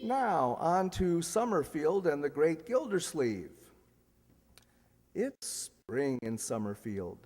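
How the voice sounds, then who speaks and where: An actor playing a polished, enthusiastic 1940s radio announcer and pitchman in an emphatic style. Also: very animated, slow pace, darkish tone, full character voice. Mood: lyrical. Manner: emphatic, salesmanlike. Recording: echoey hall stage recording.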